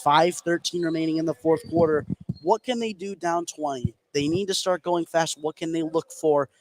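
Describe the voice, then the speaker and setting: A man with a fairly high voice does live basketball play-by-play. His delivery is excitable, lifting for big plays. Clear noise runs behind him at courtside. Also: normal speed. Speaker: play-by-play announcer. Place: courtside in a gym.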